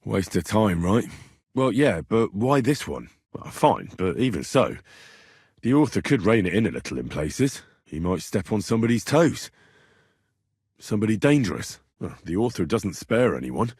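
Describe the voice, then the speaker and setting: An earnest young medieval commoner for a video game. His voice is husky and swings expressively, with a cheeky, dogged, bantering way of speaking. The recording is close and dry. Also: lowish voice, sing-song, normal speed, darkish tone, no room sound, heavily acted. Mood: sceptical. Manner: colloquial, questioning, bantering. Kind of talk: video game character dialogue.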